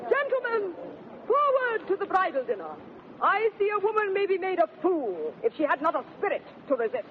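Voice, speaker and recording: A tinny vintage radio recording with a clear crowd behind. a wilful, sharp-tongued young bride, imperious and theatrical, her voice pitched very high. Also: very animated, brisk. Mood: defiant, angry. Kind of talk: 1930s radio acting of Shakespeare.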